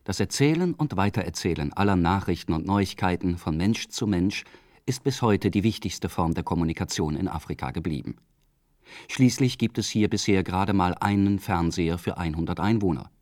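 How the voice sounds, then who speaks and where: A man reading a scripted radio documentary in a calm, measured way. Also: sits low, dry recording, clean studio sound. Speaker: radio feature narrator.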